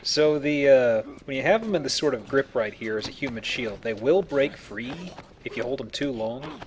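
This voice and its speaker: A man's voice: medium pitch, animated, moderate pace. A man giving casual, joking commentary on a video game as he plays and explains it. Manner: joking, colloquial. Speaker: video game let's player.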